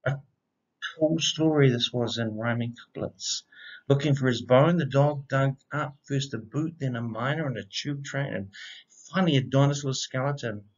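A middle-aged man reading a rhyming children's picture book aloud in an expressive, measured storytelling manner. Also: medium pitch. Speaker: storybook reader, educator. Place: indoor room. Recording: slightly dull, lo-fi webcam sound.

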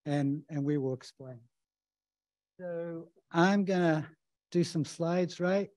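A man's voice: a little high, slow pace, darkish tone, no room sound. A man from New Zealand with a New Zealand accent, giving a casual, chatty conference presentation as a professor, often tagging "right?". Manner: casual, chatty, tag questions.

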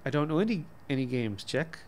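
A man reading the part of a reluctant, mildly put-upon bookish father, gentle and resigned. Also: fairly high pitch, expressive, fairly quick, natural voice. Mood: gently declining.

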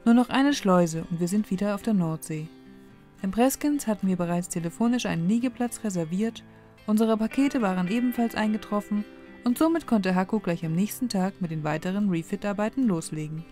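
A woman calmly narrating a sailing vlog in a scripted voice-over. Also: medium pitch, brisk, faint music behind.

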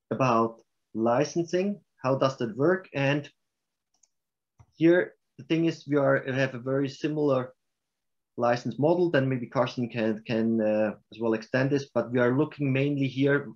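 A man with a Swiss German accent presenting software in a webinar and answering questions, explaining in a halting way with restarts. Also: medium pitch, dull audio.

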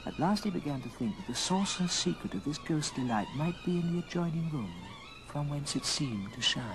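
A man narrating a dramatic story in a theatrical, measured old radio style. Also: on the high side, expressive. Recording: old record, music behind.

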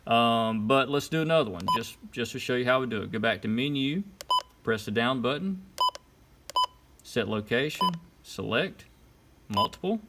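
A man's voice: medium pitch, expressive, normal speed. A man giving an informal how-to demonstration, talking through each step in short, casual instructions. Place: indoors at a desk. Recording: clear background noise, clicks and beeps. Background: button clicks and electronic beeps.

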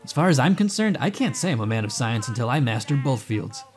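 An actor playing a cocky, smooth-talking inventor who teases and boasts in an audio drama. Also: male voice, medium pitch, very animated, quick pace, darkish tone, faint music behind, lightly acted. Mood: boastful. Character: cocky, glib inventor and self-styled man of science.

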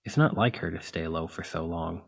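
Casting: male actor as protective demigod hero for ASMR roleplay; deep, rough-edged voice, exasperated yet tender. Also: moderate pace, heavily acted. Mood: brooding. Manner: exasperated, bossy, caring.

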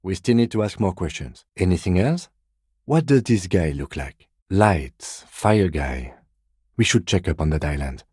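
An actor playing a composed middle-aged police detective in a video game, speaking in a measured, formal, dryly polite way. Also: male voice, sits low, lively intonation, darkish tone, slightly rough, no room sound, studio quality, exaggerated voice. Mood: businesslike, dry. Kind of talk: dramatic game dialogue, separate voice lines.

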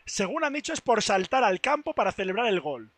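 A hoarse young man who has lost most of his voice, chatting excitedly to his stream on a headset mic in a studio booth. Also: high voice, brisk, no echo. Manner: excited, repetitive. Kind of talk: casual stream chat.

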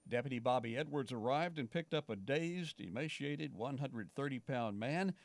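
A man's voice: medium pitch, expressive, normal speed, no room sound. A man reading scripted narration for a television news feature as the reporter.

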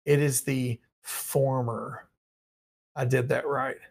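A man's voice: mid-range voice, little pitch movement, slow pace, gravelly. A man in his thirties hosting a live viewer question stream, his voice partly worn out, reading questions and answering in a rambling, offhand way. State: partly lost voice. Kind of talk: casual live Q&A. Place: small dim room indoors.

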